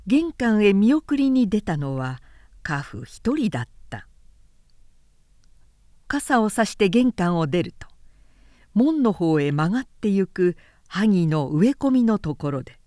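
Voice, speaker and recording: A woman narrates a literary tale at an unhurried pace. Her intonation moves widely and expressively, in a measured storytelling style. The recording is dry and close. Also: on the low side, sing-song, a little mellow, dry recording.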